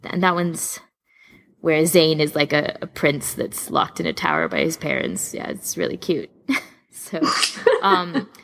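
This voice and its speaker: Woman with a low voice and lots of fry, chatting away excitedly on a podcast, very casual. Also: measured pace. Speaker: podcast co-host.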